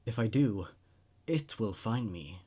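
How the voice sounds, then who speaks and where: A man's voice: fairly low pitch, animated, slow pace, dark tone, husky, pitched below the performer's own voice, full character voice. A man voicing a troubled, nervous male patient in his twenties in a horror story, hesitant and uneasy. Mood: haunted, fearful.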